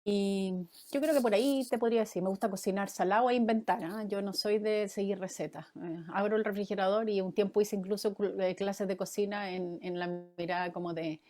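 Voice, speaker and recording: A woman in her fifties from Chile, a guest answering interview questions in a thoughtful way, with frequent pauses and fillers. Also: mid-range voice, steady tone, mellow. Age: 50s. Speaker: guest, former mayoral candidate and coach.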